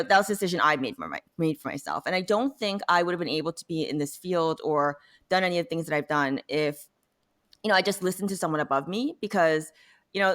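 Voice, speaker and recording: A woman's voice: low-pitched, a bit bright. A woman telling her own story as an interview guest, speaking informally and conversationally, with many "you know"s and "like"s. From born in South Korea, later immigrated to the United States. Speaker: interview guest, data science professional.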